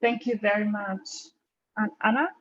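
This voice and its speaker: Female webinar host, medium pitch, informal and encouraging, with slightly dull online audio. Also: flat delivery, normal speed. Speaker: host, moderator. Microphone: video call.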